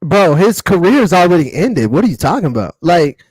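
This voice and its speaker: A man with a fairly high voice chats as a podcast co-host. His pitch moves around a lot as he argues in loose slang. The sound is dry, with very little room in it. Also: very animated, normal speed, a little mellow, slightly rough, dry recording, poor audio. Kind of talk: casual panel chat.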